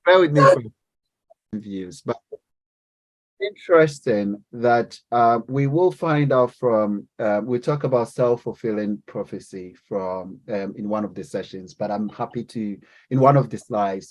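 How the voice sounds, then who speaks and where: A man's voice: on the low side, a little slow, rough recording. Hesitant man leading a class. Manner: hesitant, many fillers and restarts. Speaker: lecturer. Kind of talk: live class discussion.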